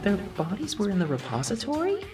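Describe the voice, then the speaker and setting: A woman's voice: medium pitch, huge pitch swings, brisk, music in the background. Woman voicing an earnest teenage boy, slightly distant. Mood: uneasy, tense. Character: earnest, uneasy teenage boy student narrating an investigation. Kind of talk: anime game fan dub, inner monologue.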